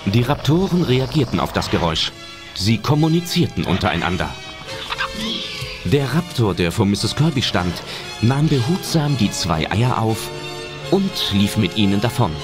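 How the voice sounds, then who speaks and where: Deep-voiced male narrator, lively delivery. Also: normal speed. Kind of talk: scripted audio drama narration. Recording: loud music under the voice. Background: loud film-style music and effects.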